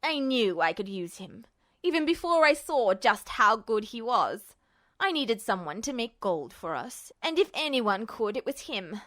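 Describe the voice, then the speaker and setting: A woman's voice: a little high, very animated, a little slow, a bit bright, pitched below the performer's own voice. A woman voicing a bitter, unhinged male villain, smug and sneering, in an audiobook story. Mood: cold wonder. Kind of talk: audiobook-style character acting.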